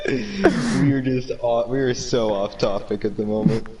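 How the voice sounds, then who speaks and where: A man's voice: medium pitch, distant mic, poor audio. A man co-hosting a podcast in goofy, ironic casual chat, laughing often. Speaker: podcast co-host.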